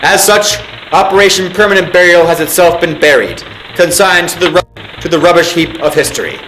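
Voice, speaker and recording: A man acts as an officious narrator of a secret government film. His voice sits fairly high for a man, with grave, pompous, deliberate delivery. It rings with echo over clear music. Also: fairly bright tone, natural voice. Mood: solemn. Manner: formal, pompous, deliberate enunciation. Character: solemn male military briefing narrator, grave and officious.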